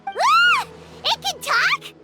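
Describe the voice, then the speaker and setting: A woman voicing a tiny, childlike, excitable fairy companion in a video game, with a squeaky, chirpy, easily indignant delivery. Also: drawn-out words, exaggerated voice. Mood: shocked, indignant. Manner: speaks of self in third person, chirpy. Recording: loud game music behind.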